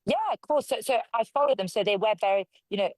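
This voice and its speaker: A woman's voice: mid-range voice, fast, darkish tone, no echo. A woman from London, a local councillor, giving forthright, conversational answers as an interview guest. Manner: forthright, conversational, speaks her mind.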